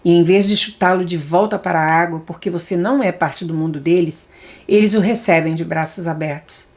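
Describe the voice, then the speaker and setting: Brazilian woman reading aloud, lowish voice. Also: brisk, a little mellow. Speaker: audiobook reader.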